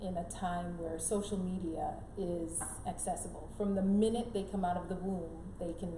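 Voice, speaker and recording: A woman's voice: fairly low pitch, steady tone, moderate pace, warm tone. A middle-aged woman raised in Canada and born in Jamaica, giving earnest conversational advice as an interview guest, emphatic and repeating key phrases. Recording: roomy echo, clear background noise.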